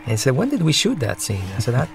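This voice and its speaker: A man giving unscripted commentary on his own film, talking in a conversational, reflective and slightly halting way. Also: mid-range voice, huge pitch swings. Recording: film soundtrack music playing behind. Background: film soundtrack music.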